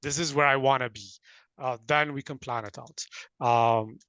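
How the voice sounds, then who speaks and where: A man from Ethiopia hosting a casual interview, hesitant with frequent restarts, then bursts of animated laughter. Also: medium pitch, moderate pace, clean tone, no echo, highs cut off. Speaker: interview host, talent company founder.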